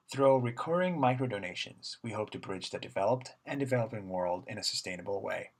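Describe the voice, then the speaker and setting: A young man in a student pitch video, reading a scripted explanation in a steady voice. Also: breathy edge. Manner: steady, read-aloud delivery.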